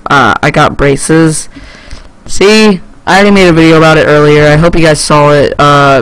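Teenage male gamer, rambling and higher-pitched. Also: a bit bright, low-quality recording. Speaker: gaming YouTuber. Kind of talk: casual gameplay commentary.

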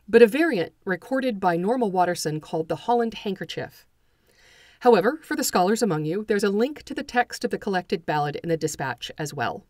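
A woman hosting a folklore podcast, reading a scripted introduction in a bright, eager way with playful asides. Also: medium pitch, no room sound, studio quality.

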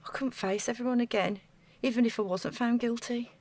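An actress playing an anxious, self-doubting woman in an audio drama, speaking in a flustered, halting way. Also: fairly high pitch, steady tone, a little mellow, dry recording. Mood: panicked.

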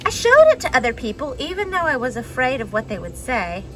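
A young adult woman reading a children's picture book aloud expressively, with dramatic pauses and emphasis. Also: high-pitched, huge pitch swings, pushed and bright, soft background music, low-quality recording. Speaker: storybook reader for a children's church message. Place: outdoors on a wooden deck among trees.

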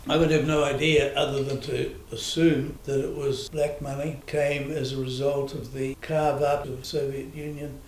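A man's voice: mid-range voice, flat delivery, normal speed, hardly any pauses. An older man, giving blunt, assertive answers in an interview. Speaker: interviewee, former business owner. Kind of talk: sit-down interview. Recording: echoey room with faint noise.

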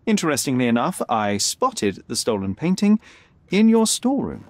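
An actor playing a confident, sharp young detective who speaks formally and articulately, needling and unflappable in a dramatic video game style. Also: male voice, mid-range voice, sing-song, normal speed, no room sound, natural voice. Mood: assured, accusing.

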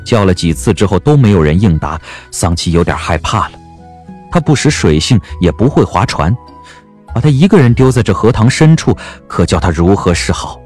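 A man narrating an audio novel as scripted dramatic storytelling, with a measured, expressive literary delivery. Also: sits low, slightly rough, faint music behind.

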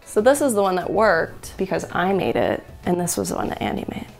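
A woman's voice: on the low side, big pitch swings, a little fast, vocal fry. Jokey woman in her 30s showing off stuff, laughs a lot, voice bouncing all over, faint music underneath. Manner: playful, jokey, laughs often. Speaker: host. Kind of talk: casual show-and-tell of items. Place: indoor studio with a blue wall.

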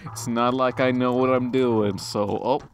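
A man's voice: mid-range voice, fairly even intonation, a little slow. A man giving casual, sarcastic, sweary let's play commentary, joking and reading game text aloud. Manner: sarcastic, profane. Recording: clear background noise.

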